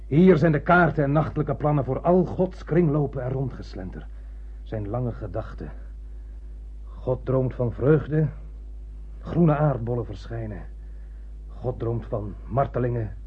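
An actor playing an obsessed old blind spaceship captain in a radio play, with a raspy, brooding, declamatory delivery. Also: male voice, on the low side, a little hiss or hum. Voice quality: husky, raspy. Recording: muffled lo-fi radio play recording.

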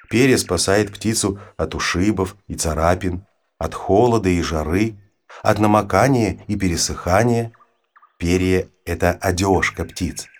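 A man with a husky voice reads an educational narration for children in an expressive, storytelling manner. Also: lowish voice. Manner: storytelling, poses questions to the listener. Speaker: audiobook narrator.

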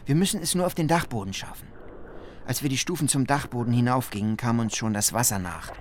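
Ein Schauspieler spielt einen Ehemann, der in einem dramatischen Monolog eindringlich und entschlossen von seiner Flucht vor einer Flut erzählt. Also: male voice, mid-range voice, fast talker, slight background noise, natural voice. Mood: urgent. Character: married man recounting a flood escape, urgent and determined. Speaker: radio drama actor. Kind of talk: dramatic first-person survivor monologue.

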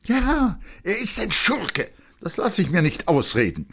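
A man acts out a defiant young boy from a dramatic audiobook, pitched well up. The child is agitated and accusing, pressing each phrase with vehement emphasis. The voice is husky and swings widely. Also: huge pitch swings, measured pace, a bit bright, heavily acted. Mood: heated, insistent. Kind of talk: dramatic literary audiobook acting.

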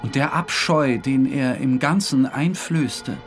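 An actor playing a haunted, morbidly obsessed dreamer who narrates in a grave, deliberate, theatrical way. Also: male voice, mid-range voice, natural voice. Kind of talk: dramatic scripted literary narration. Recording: music playing under the voice.

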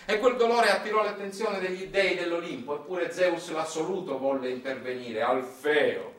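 Sicilian guy doing theatrical satirical storytelling, bit high voice, echoey, sometimes slips into dialect. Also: normal speed, fairly bright tone. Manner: dramatic, ironic, shifts into dialect. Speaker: stage storyteller, monologue actor. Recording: roomy, distant microphone.

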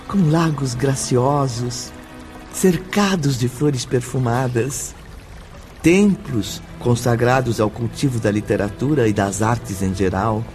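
A man narrating a radio drama in a measured, scripted way. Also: mid-range voice, a little mellow. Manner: slow, measured. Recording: radio drama with faint music.

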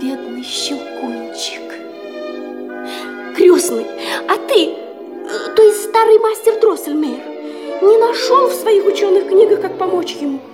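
Little girl, very high voice, lively swooping intonation, eager, tender-hearted, curious, fairy-tale radio play, music behind. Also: unhurried, pauses often, heavily acted. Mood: sad, concerned. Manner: eager, questioning. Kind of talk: theatrical fairy-tale audio play acting.

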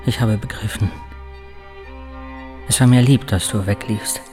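An actor in a radio play voicing a terse, guarded war veteran with a gravelly, husky voice and subdued delivery. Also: male voice, lowish voice, steady tone, measured pace, a little mellow, soft background music, close to an everyday voice. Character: guarded war-wounded Alsatian soldier, terse former lover. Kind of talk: German radio play acting.

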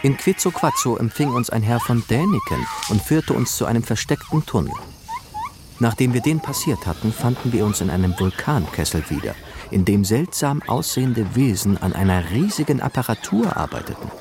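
An actor playing a smitten manservant who recounts fantastical travel adventures in a musical radio drama. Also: male voice, mid-range voice, huge pitch swings, moderate pace, noisy background. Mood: storytelling wonder. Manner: storytelling, theatrical. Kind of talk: musical audio drama narration. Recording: studio radio play with music behind.

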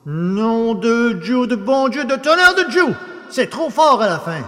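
A man plays a cheeky poacher, high and swooping in pitch. He protests bluntly, as in an audio drama, his voice ringing in a long hall reverb. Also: animated, a little slow, a bit bright, exaggerated voice. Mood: indignant. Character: indignant, cheeky male village poacher. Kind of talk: French audio drama acting.

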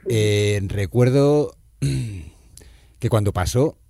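A middle-aged man with a Spain Spanish accent hosts a radio talk. His voice is low and dark, and it is recorded dry. He speaks candidly and reflectively, with the odd filler. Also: very slow, no room sound. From Madrid area, Spain. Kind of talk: casual radio chat.

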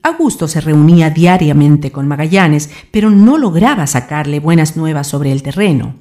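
A woman's voice: low-pitched, expressive, moderate pace, warm tone. A woman reading scripted narration for a radio drama.

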